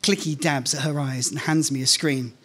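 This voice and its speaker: A man's voice: medium pitch, steady tone, moderate pace, a bit bright, slightly rough. A middle-aged man reading a scripted first-person story aloud on stage into a stand microphone. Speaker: reader of a short story at a live event. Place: indoor stage at a live reading event. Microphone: microphone on a stand, close in front.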